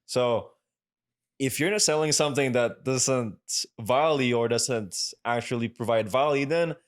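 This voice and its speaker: A man's voice: mid-range voice, measured pace. A young man hosting an interview podcast, talking earnestly and often checking in with "right?". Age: young adult. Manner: earnest, reflective, keeps asking "right?". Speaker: podcast host. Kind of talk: casual interview chat.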